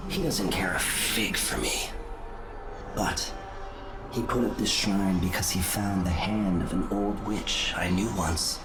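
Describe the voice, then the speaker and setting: A cryptic supernatural male deity muses with detached, sardonic calm. The voice is low and wrapped in echo, with loud game music behind it. The delivery is measured, like a knowing monologue. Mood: aloof musing. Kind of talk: video game cutscene monologue. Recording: echoing voice under loud music.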